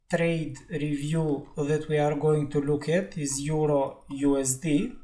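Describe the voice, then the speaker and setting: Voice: mid-range voice, mellow. A host walking through a trade review over charts in careful, explanatory non-native English. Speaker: trading analyst and channel host.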